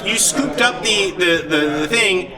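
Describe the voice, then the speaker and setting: A man acting as game master of a live tabletop game, explaining and narrating in a casual, halting way. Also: high voice, expressive, distant mic, over loud music. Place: live convention game in a large indoor space. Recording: loud crowd in big hall, off mic. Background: loud convention crowd.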